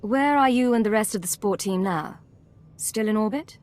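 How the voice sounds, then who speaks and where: A woman's voice: mid-range voice, moderate pace, a little mellow, close to an everyday voice. A woman playing a controlled, authoritative imperial secret agent in video game dialogue. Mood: cool, inquiring. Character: cool, commanding female imperial secret agent.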